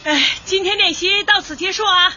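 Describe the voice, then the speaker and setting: A woman's voice: very high-pitched, normal speed, lo-fi sound, over music. An actress playing a bold, hearty middle-aged neighbourhood woman with a Northeastern Chinese accent in a radio comedy, folksy and forthright. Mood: brisk, commanding. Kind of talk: radio comedy drama acting.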